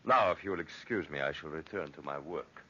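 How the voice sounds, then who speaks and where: Deep, haughty, sardonic genius. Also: male voice, brisk, pushed and bright, husky, heavily acted. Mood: scornful. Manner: sarcastic, grandiose. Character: haughty, sardonic genius inventor, contemptuous of townspeople. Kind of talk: old-time radio drama acting. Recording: dull, lo-fi old radio recording.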